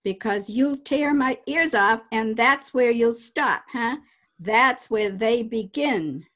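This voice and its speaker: Actress as cagey juke joint boss: slow, slangy, defiant, higher-pitched, old radio crime style. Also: female voice, fairly bright tone. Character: tough, cagey underworld juke joint woman. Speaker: amateur radio play actor. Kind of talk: 1940s radio crime drama acting. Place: at home, recorded over video call.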